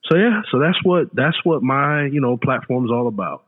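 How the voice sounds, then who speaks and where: A man's voice: mid-range voice, animated, normal speed. A man in his late thirties, a podcast guest chatting casually, often repeating words and saying "you know". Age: late 30s. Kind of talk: casual chat. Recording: phone-like remote line with long reverb.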